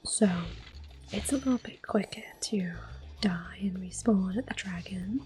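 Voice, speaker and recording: Slow, whispering woman. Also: medium pitch. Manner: soft-spoken whisper, gentle and unhurried. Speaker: ASMR gaming host. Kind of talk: whispered ASMR gameplay commentary. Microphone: close ASMR microphone.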